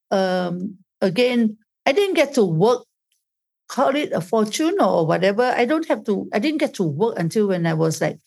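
A woman talks as an interview guest over a laptop call. She stops and restarts her sentences and searches for words, and her voice sits at a middling pitch. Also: a little mellow. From Malaysia, raised in Singapore. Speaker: podcast guest. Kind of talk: casual interview chat. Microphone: laptop video call.

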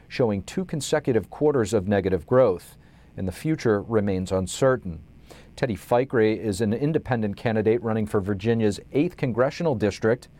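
A man hosting a news interview show, reading introductions and asking scripted, measured questions. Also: fairly low pitch, darkish tone.

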